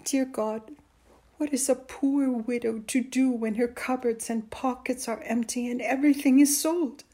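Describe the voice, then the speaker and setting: A woman's voice: a little high, expressive, moderate pace, darkish tone, voice pushed up, close to an everyday voice. A woman voicing a weary, scolding, impatient widowed mother in a story, stern and emphatic. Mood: despairing.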